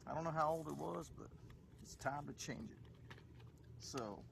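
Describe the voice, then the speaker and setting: A man's voice: a little high, normal speed, gravelly. A man, hosting a podcast in casual chat as he talks himself through a small car repair outdoors. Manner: easygoing, talking himself through a task. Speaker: podcast host. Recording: loud outdoor noise.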